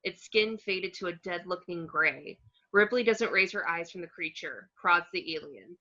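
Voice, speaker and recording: A woman's voice: on the low side, moderate pace, bright, forceful tone. A woman narrating a screenplay's action descriptions in a scripted read. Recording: dull, lo-fi home recording.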